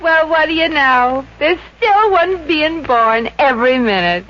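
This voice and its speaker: A woman playing a brassy, quick-witted showgirl with wisecracking, theatrical patter in 1950s radio comedy style. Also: sits high, expressive. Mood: wry, brisk. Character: brassy, quick-witted showgirl running a mind-reading act. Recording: muffled, noisy 1950s radio recording, roomy.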